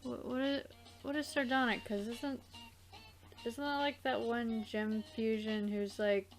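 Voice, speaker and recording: Slow-talking woman, halting casual chat. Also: a little high, little pitch movement, faint music behind. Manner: halting, repeats words. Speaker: co-host of a game let's play.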